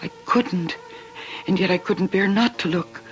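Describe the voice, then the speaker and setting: An actress playing a troubled young woman confessing a dark deed in an old radio drama, dramatic, anguished and halting. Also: mid-range voice, highs cut off, music in the background, lightly acted. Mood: horrified.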